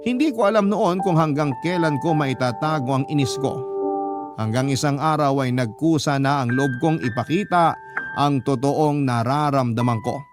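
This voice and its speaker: A man with a Filipino accent as a resentful householder, narrating a radio drama in a measured, confessional way. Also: mid-range voice, close to an everyday voice. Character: resentful householder confiding a story of hidden anger. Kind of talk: scripted first-person radio drama narration. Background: prominent background music.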